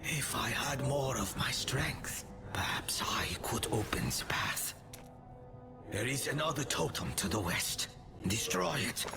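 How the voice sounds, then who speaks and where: A man voicing the solemn, weakened spirit of an ancient conqueror king in a fantasy game, breathy, grave and stately. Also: medium pitch, expressive, music in the background. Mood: commanding, weary. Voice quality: breathy, whispery. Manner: grave, dramatic, slow and stately.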